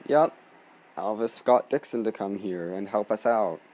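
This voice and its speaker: A man's voice: lowish voice, unhurried, darkish tone, poor audio. A man giving casual let's play commentary over a video game. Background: faint game music.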